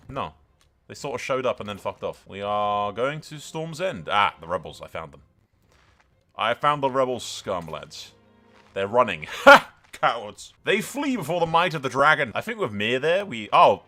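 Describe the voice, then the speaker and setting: A man hosting a gaming video, giving casual, excitable and sweary commentary with some roleplay storytelling. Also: fairly low pitch.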